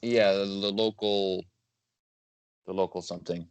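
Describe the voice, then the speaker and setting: A man's voice: sits low, steady tone, a little slow, clear, resonant tone, no echo. A man guest composer in a casual interview chat, rambling, laughing easily and correcting himself as he goes.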